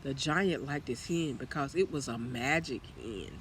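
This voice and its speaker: A man reading a children's story aloud as storyteller, in an expressive manner. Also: mid-range voice, a little slow, a little hiss or hum, rough recording. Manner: expressive, with acted character lines.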